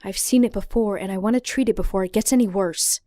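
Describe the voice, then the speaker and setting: A woman's voice: medium pitch, quick pace, mellow, no room sound, clean studio sound, close to an everyday voice. A woman playing a caring but firm healer in an audio drama. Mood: concerned.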